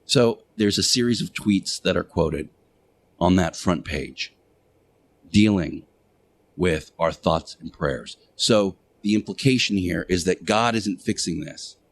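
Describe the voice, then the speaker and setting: A man hosting a radio show, preaching in a deliberate monologue with emphatic short phrases, pauses and repetition. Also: low-pitched. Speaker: radio show host playing Jesus in interactive radio theater.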